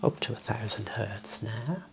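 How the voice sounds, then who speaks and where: A man whispering slow, gentle instructions in an ASMR medical exam role play, softly praising between steps. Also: lowish voice, creaky, noisy background. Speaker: ASMR creator playing a clinician.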